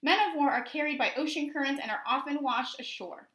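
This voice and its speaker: A woman teacher reading a nature book aloud to children, enunciating clearly and expressively and putting questions to her young listeners. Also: sits high, a little fast, a bit bright. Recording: echoey, roomy sound.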